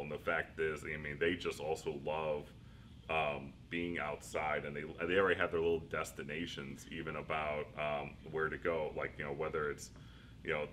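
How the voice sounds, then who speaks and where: A man's voice: extremely low pitch, a bit bright, a little hiss or hum. A middle-aged man, a school district leader, answering interview questions in a rambling, self-deprecating way with frequent laughs.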